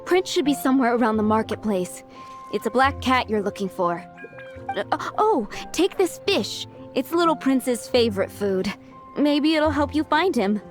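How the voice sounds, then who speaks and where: A woman's voice: high-pitched, big pitch swings, moderate pace. A woman playing a friendly, polite townswoman in an anime fantasy game, with music behind her. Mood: helpful. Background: background music.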